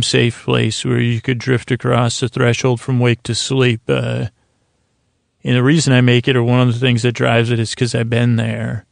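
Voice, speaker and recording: A middle-aged man with a creaky voice hosts a sleep podcast, giving a gentle, soothing bedtime monologue that meanders, halts and interrupts itself. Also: medium pitch, no echo, clean studio sound.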